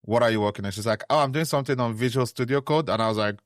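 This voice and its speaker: A man's voice: medium pitch, racing, dry recording, studio quality. A man from Liverpool with a Liverpool accent, co-hosting a podcast in casual chat, playful and jokey. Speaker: podcast co-host.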